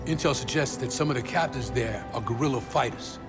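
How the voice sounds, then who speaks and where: A man's voice: medium pitch, a little fast, natural voice. A man playing a suspicious, hard-bitten resistance commander in a video game, speaking tersely and with authority. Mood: businesslike, commanding. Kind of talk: video game cutscene acting. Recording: loud music over the voice.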